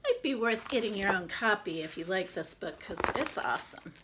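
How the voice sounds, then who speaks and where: A woman reading a picture book aloud to children, expressive, with casual chatty asides to explain it. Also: mid-range voice, normal speed, noisy background. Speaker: children's read-aloud presenter.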